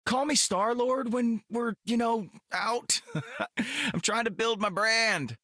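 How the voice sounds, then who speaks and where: An actor playing a cocky, sarcastic space hero in a video game, casual and wisecracking. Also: male voice, very high-pitched, takes it slowly, sharp tone, no room sound, clean studio sound, full character voice. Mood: playful.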